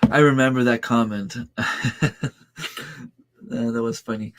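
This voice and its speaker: A French Canadian man in his thirties, native French speaker, takes his time on a casual livestream. He talks slowly and easygoingly, hesitating and restarting as he answers viewer comments, at an everyday mid pitch. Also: fairly even intonation. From Northern New Brunswick, Canada. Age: 30s. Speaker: farm channel host.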